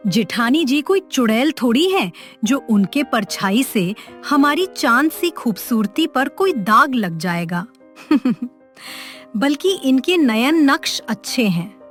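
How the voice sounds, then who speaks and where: Woman doing a sweet young devrani, very high slow voice, caring and earnest, story acting. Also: sing-song, faint music behind, full character voice. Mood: protective. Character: caring, cheerful young sister-in-law, protective. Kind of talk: melodramatic Hindi moral story acting.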